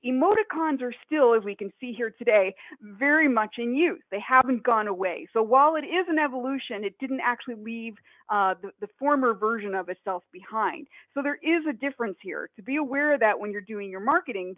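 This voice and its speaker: A woman in her forties from Northern Ohio giving a conference webinar talk, slightly hoarse, chatty with many fillers and restarts. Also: on the high side, no echo. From Northern Ohio, USA. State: hoarse, coughing. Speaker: conference presenter. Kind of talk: webinar presentation. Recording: dry, phone-like audio.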